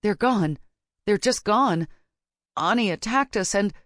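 A woman's voice: lowish voice, animated, unhurried, no echo, clean studio sound. A woman voicing an anxious but determined young female witch, the heroine of a fantasy audiobook. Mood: shaken. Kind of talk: audiobook character dialogue.

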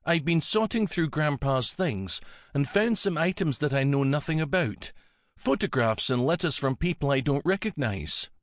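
A younger woman in an audiobook, voiced by a male narrator, tender and anxious. The voice sits well above a normal male pitch and moves expressively, earnest and full of feeling. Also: animated, moderate pace, fairly bright tone, no echo, studio quality. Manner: pleading, emotional, earnest.

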